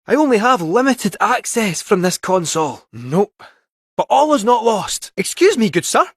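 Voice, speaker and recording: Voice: medium pitch, sing-song, moderate pace, dry recording, studio quality. An actor voicing a jaunty, theatrical robot cat with a Scottish accent in a video game, helpful, folksy and a little fussy. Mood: fussy, plucky. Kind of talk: animated video game character acting.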